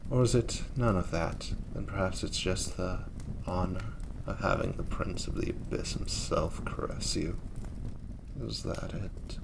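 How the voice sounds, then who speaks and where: Casting: male actor for an imperious, seductive demon prince, slow and theatrical, audio drama with fire ambience and noticeable background noise. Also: low-pitched, vocal fry. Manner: silky, teasing, theatrical, unhurried. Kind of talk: intimate flirtatious roleplay audio drama. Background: crackling fire ambience.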